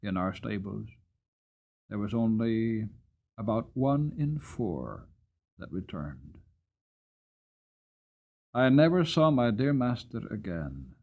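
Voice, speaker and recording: A man voicing an old war horse in a storybook, mournful and proud, with solemn, measured, emotive delivery. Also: on the low side, big pitch swings, creaky, phone-quality sound, heavily acted. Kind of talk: dramatic audiobook reading.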